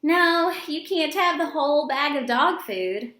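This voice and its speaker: A woman's voice: sits high, animated, measured pace, sharp tone, slight background noise. A woman teacher reading a picture book aloud to young children, expressively and with simple explanatory asides. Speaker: elementary school teacher. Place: indoor room with echo. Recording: echoey room.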